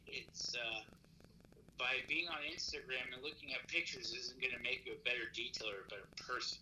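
A man's voice: medium pitch, pushed and bright, a little hiss or hum, low-quality recording. A man in his late thirties, a guest in a casual chat, hesitant and thinking aloud with many ums and uhs. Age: 30s.

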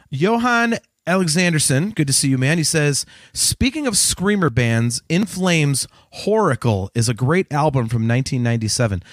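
A man's voice: fairly high pitch, no room sound, clean studio sound. A man co-hosting a music podcast, chatting in an informal, conversational way. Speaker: podcast co-host. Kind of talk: casual chat about music albums.

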